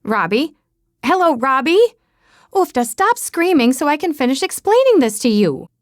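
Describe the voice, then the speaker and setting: A woman voicing a loud, tearful, gushing older aunt. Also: fairly high pitch, animated, normal speed, no room sound, clean studio sound, voice pushed up, close to an everyday voice.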